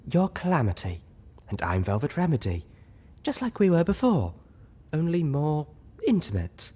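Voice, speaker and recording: A man voicing a gentle, refined female pony who speaks softly, properly and tactfully in a storybook reading. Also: a little high, huge pitch swings, normal speed, breathy edge, pitched above the performer's own voice, exaggerated voice. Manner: soft-spoken, purring, proper. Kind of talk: audiobook character voice.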